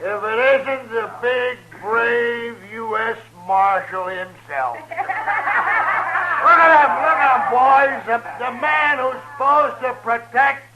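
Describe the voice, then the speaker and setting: An actor playing a sneering, hostile saloon troublemaker in a 1950s radio western, with a taunting, belligerent, drawn-out delivery. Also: male voice, very high voice, steady tone. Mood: mocking, taunting. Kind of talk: 1950s radio drama acting. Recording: noisy old 1950s radio recording.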